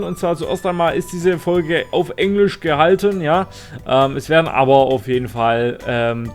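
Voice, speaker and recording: A man who is a native German speaker, hosting a casual let's play in a halting way with theatrical announcer flourishes. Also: on the high side. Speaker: host of a gaming channel.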